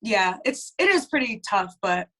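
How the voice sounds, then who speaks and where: A woman's voice: mid-range voice, very animated, measured pace, sharp tone. A young woman, a band's lead singer, chatting casually in an interview with lots of fillers. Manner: chatty, many "like" fillers, laughs easily.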